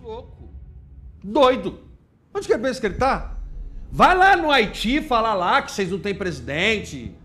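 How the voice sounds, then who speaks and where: Brazilian male commentator, high voice, indignant and mocking, informal political talk over music. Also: bright, forceful tone. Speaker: video commentator.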